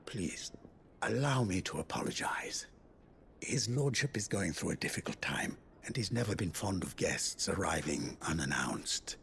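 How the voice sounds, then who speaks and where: A man's voice: deep voice, lively intonation, unhurried, creaky, a bit bright, slight background noise, full character voice. A man playing a deferential, patient manservant in a video game, speaking with formal, obliging eloquence. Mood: soothing, apologetic. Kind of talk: video game cutscene acting.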